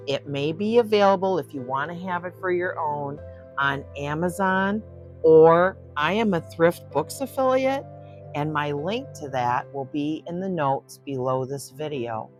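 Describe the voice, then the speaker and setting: An older woman hosting story time for children, reading a picture book aloud and chatting gently to young listeners in between. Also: sits low. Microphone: close microphone.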